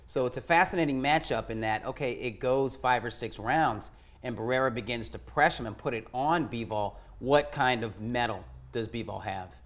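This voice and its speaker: Analytical man on a boxing panel. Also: medium pitch. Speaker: boxing magazine editor, panelist. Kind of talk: casual sports analysis.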